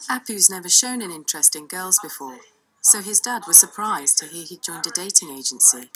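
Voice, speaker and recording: A woman's voice: lowish voice, expressive, a little fast, crowd noise, low-quality recording. A woman reading scripted documentary narration.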